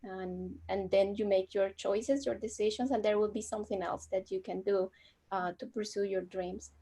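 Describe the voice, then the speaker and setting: A woman with a Colombian accent answers an interviewer's questions over a video call. Spanish is her first language, and she talks in a warm, reflective way, pausing on ums and making small slips. Her voice sits at a middle pitch for a woman. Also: steady tone, husky. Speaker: guest scientist.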